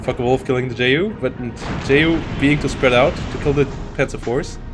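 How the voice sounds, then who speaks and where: A man's voice: mid-range voice, normal speed, over music. A man commentating a live strategy game tournament, talking in loose, self-interrupting bursts. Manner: rambling, self-interrupting, frequent restarts. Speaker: esports caster.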